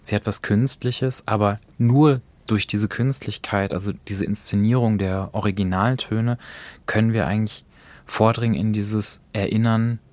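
A man's voice: fairly low pitch, fairly even intonation, normal speed, a little mellow, no echo. A man co-hosting a podcast in a thoughtful, conversational way, discussing radio drama and often checking for agreement. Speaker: podcast co-host.

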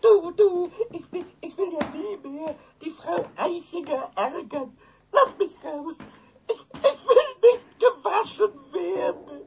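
A tiny, mischievous kobold with an extremely high, creaky voice. He is childlike and dramatic, swooping up and down and tripping over repeated words. It is a children's radio play on a dull vintage recording. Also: slow pace, slight background noise, exaggerated voice. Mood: whining, pleading. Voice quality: squeaky, creaky. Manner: excitable, stammering, repeating words.

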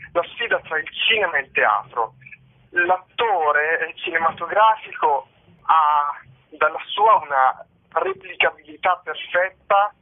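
A man with a fairly high voice speaks over a narrow, tinny telephone line. He gives an interview about his work, thoughtful and halting, often pausing to find the right word. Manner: halting, thoughtful, searching for words. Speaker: theatre company co-founder being interviewed. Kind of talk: spoken interview, explaining stage works.

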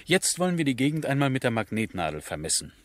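An actor playing an earnest, courteous military captain with a scientific bent, speaking measured, formal in a 1970s radio drama. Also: male voice, mid-range voice, sing-song, background noise, close to an everyday voice. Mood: reserved, practical.

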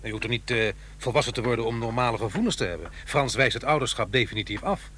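A man's voice: mid-range voice, fast, bright, forceful tone, a little hiss or hum, lightly acted. A man playing a troubled, brooding divorced father in a radio drama, earnest and hesitant. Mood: worried, pleading. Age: middle-aged.

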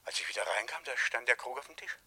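An actor playing an irritable, defensive middle-aged colonel in a German radio crime play, husky and halting as he blusters. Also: medium pitch, lively intonation, sharp tone, exaggerated voice. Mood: flustered, faltering. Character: flustered retired-soldier colonel, irritable and defensive.

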